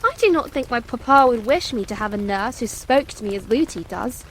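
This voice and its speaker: Woman voicing a high, dignified little princess. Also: expressive, brisk, slight background noise, poor audio. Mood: composed, indignant. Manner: composed, precise, politely imperious. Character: dignified, self-possessed little princess, a young girl. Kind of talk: dramatized storybook reading.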